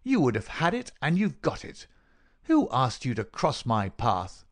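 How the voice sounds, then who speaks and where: A man voicing a cruel, gloating murderer in an audiobook, sneering and mock-solicitous in a dry rasp. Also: medium pitch, wildly swooping pitch, unhurried, voice pushed up. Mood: triumphant, venomous. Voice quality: dry, rasping. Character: gloating, cruel murderer taunting his dying victim. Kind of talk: dramatic audiobook character reading.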